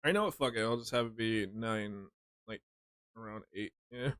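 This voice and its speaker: A man's voice: fairly low pitch, normal speed, a bit bright, smooth, dry recording, clean studio sound. Rambling, foul-mouthed male streamer. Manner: rambling, profane, jokey storytelling. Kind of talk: casual gaming stream chat.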